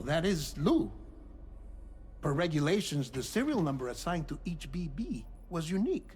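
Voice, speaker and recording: An earnest, gentle middle-aged male scientist in a cinematic video game. His voice sits a little high for a man. He explains things formally and deliberately, as if confiding in a friend, with music playing underneath.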